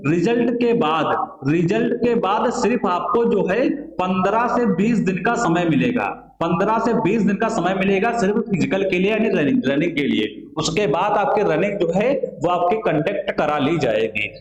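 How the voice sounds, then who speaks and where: A man's voice: sits high, expressive, moderate pace, hardly any pauses, lo-fi sound. A man giving an explanatory exam-prep lesson to students as a coaching teacher, repeating phrases and answering his own questions. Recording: echoey room.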